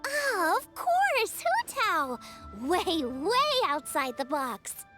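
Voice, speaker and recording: Teenage game cook, girlish, bubbly, eager, very high, swooping intonation, music underneath. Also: big pitch swings, very slow, exaggerated voice. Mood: curious, chatty. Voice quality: bright, girlish. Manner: enthusiastic, chatty. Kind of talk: video game voice acting.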